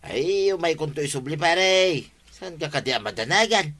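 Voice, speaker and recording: An actor with an Ilocano Filipino accent playing a wheedling, excitable neighbour who is always borrowing, in a radio comedy drama. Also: male voice, a little high, normal speed. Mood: pleading.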